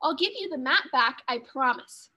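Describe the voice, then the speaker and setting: High-pitched young boy pirate acted by a woman, storybook character, pleading, whiny, reluctant little brother. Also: lively intonation, normal speed, bright, forceful tone. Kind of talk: children's storybook reading.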